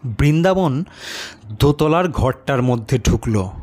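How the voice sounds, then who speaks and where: A man narrating a horror story in the first person, with an expressive, deliberate and suspenseful delivery. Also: medium pitch.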